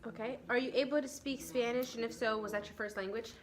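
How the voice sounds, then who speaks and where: A woman's voice: on the high side, fairly even intonation, fast, a crowd in the background. A woman interviewing her friend for a class project and reading her questions plainly. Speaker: student interviewer.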